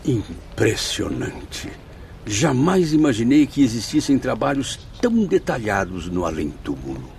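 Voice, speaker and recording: An actor playing an inquisitive, awed newcomer to the afterlife in a Brazilian radio drama, speaking formally. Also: male voice, medium pitch, big pitch swings, measured pace, darkish tone. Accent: Brazilian Portuguese. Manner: formal, curious.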